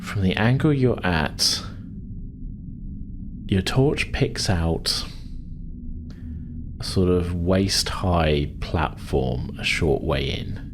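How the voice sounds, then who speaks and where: A man's voice: sits low, drawn-out words, pauses often, background noise. A man speaking as game master, improvising tabletop narration and chatting casually in a hesitant way.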